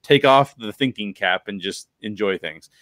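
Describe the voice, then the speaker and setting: Low-voiced middle-aged man hosting a podcast. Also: moderate pace, fairly bright tone, dry recording, studio quality. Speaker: podcast host. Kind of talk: conversational film analysis. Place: bedroom, video call. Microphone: close podcast microphone.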